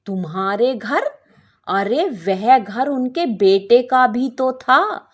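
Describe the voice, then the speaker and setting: A trusting young daughter-in-law in a story, played by a woman narrator. She sounds innocent and gentle, in a raised, higher voice. Her intonation is animated. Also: very slow, heavily acted. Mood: surprised.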